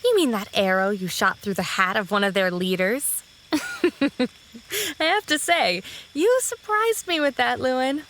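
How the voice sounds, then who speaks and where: A woman's voice: a little high, expressive, a little slow, fairly bright tone, slight background noise. A woman playing a wry, casually grumbling forest guard in a fantasy audio drama. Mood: teasing.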